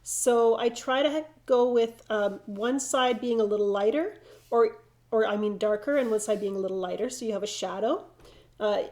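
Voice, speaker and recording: A middle-aged woman teaches watercolor live, talking her way through each brushstroke. Her voice is mellow and a little froggy and stuffed up from hay fever. She is relaxed and often restarts her sentences mid-thought. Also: mid-range voice. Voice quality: slightly froggy, nasal. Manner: relaxed, thinking aloud while painting, frequent restarts. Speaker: watercolor instructor. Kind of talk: live painting demonstration.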